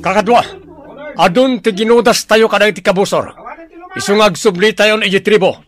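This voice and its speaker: A man's voice: high-pitched, moderate pace, a bit bright, soft background music. An actor with a Filipino accent playing a bold young warrior in a radio drama, resolutely rallying his fighters.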